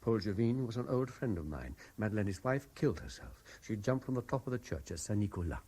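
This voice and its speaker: A man's voice: on the low side, lively intonation, fairly quick, mellow, breathy edge. An actor playing an intense, haunted Frenchman obsessed with a lost love, insistent and brooding, in 1970s radio drama style. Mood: pleading, intense.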